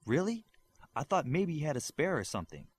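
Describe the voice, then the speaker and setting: A curious, careful woman scientist speaks in an audiobook science fiction tale, played by a male reader. Her pitch rises and falls readily as she talks in an inquisitive, focused way. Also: very animated, fairly quick, slightly rough.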